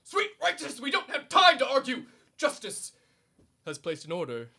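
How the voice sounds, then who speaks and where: Man as a bright, pompous amateur superhero. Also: very high-pitched, huge pitch swings, normal speed, gravelly, slightly rough, exaggerated voice. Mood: alarmed, heroic. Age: young adult. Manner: grandiose and flustered, declaiming. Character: pompous, needy, suburban amateur male superhero. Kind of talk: comedic superhero radio serial acting.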